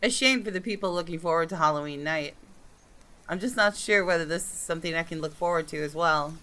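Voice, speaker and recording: A woman narrating visual novel text aloud in a measured, dramatic, expressive way. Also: lowish voice. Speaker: gaming video creator reading a game aloud.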